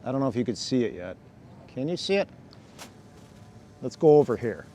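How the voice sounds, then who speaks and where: A man's voice: medium pitch, sing-song, normal speed, dark tone, a little hiss or hum. A man hosting a casual hands-on demo outdoors by a fountain, thinking aloud and laughing often as he goes. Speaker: drone channel host. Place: outdoors by a fountain, cold weather.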